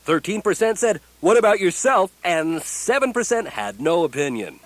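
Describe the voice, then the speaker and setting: An actor in a satirical radio play playing a brisk, deadpan male newscaster reading a parody bulletin. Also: high voice, slight background noise.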